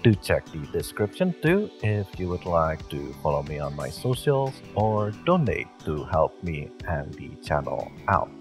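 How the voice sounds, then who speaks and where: A man speaking as a channel host, giving a casual outro to his listeners. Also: sits low.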